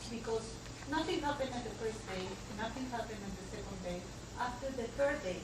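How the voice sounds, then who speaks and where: A woman from Peru in the audience, asking a question in a halting way as she searches for her words. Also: fairly high pitch. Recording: very echoey, far from mic, background noise.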